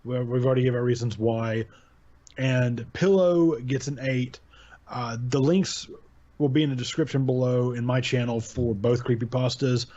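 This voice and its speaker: A man's voice: medium pitch, little pitch movement, moderate pace, mellow, dull audio. A man hosting a casual chat about horror stories, rambling, repeating his words and laughing often. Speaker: channel host.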